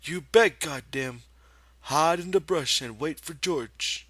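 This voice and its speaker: A man's voice: on the high side, takes it slowly, a bit bright, no room sound, voice pushed up. A man voicing a simple-minded, anxious big man with plaintive, folksy rural speech in an audiobook. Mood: worried.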